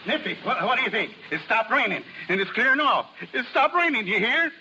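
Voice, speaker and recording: An actor playing an excitable, optimistic carnival hand in a 1930s American radio drama, chattering in breezy, folksy slang. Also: male voice, very high-pitched, fairly quick. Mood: eager, excited. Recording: old radio, faint noise.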